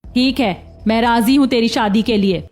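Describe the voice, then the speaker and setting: A middle-aged Indian mother in an animated family story, acted by a woman. Her voice is high and dramatic, stern yet yielding, with music playing behind it. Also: normal speed, lightly acted. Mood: resigned.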